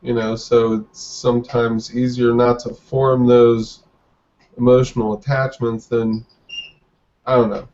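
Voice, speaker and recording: Guy in his 40s, podcast guest, slow n halting, pretty flat tone, muffled lo-fi sound. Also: mid-range voice. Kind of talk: casual interview talk.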